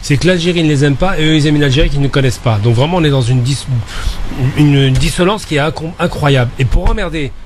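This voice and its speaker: A man argues casually as a radio panelist. He talks very quickly in a slightly high voice, with faint noise behind him. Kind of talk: casual, fast opinionated debate.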